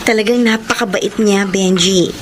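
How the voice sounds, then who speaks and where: A woman's voice: mid-range voice, steady tone, moderate pace, background noise, lightly acted. A woman playing a sweet, hesitant young Filipino college girlfriend in a radio drama. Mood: sweet, happy. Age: young adult.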